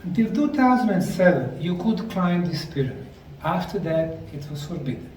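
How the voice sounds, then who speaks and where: A man with a Bosnian accent giving a deliberate, emphatic lecture to a live audience. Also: sits high, very animated, a little mellow. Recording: echoey room, mic far off, faint noise.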